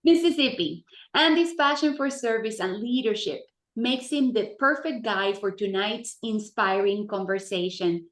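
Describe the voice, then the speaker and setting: A woman's voice: medium pitch, a little slow, hardly any pauses, a bit bright, echoey room. A woman hosting an event, reading a formal prepared introduction of the moderator. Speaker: event chair and host.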